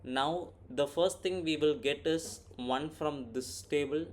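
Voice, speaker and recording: A man's voice: fairly high pitch, slow pace. A man walking through an informal screen-recorded coding tutorial, thinking aloud and often checking in with "right?". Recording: clear background noise, keyboard typing. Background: computer keyboard typing, background noise.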